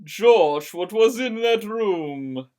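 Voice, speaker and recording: A woman voicing a blunt, loud, jealous young woman in an amateur dramatic story reading. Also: medium pitch, animated, very slow. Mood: demanding.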